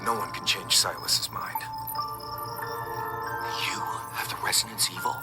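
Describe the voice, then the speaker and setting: An actor playing a wary underground tinkerer and dealer in a video game, dry, sighing and matter-of-fact. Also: male voice, sits low, steady tone, measured pace. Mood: dry, resigned. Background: loud game music.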